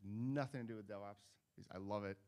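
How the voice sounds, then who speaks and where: A man's voice: lowish voice, brisk, a little mellow. A Dutch man co-chairing a conference, talking casually and joking with a live audience on stage. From Netherlands. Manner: chatty, self-deprecating, joking asides.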